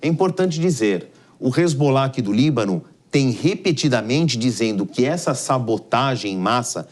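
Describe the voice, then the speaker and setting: A man's voice: mid-range voice, expressive, moderate pace. Brazilian man explaining the news. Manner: explanatory, step by step. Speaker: news analyst. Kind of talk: live news analysis. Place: TV news studio.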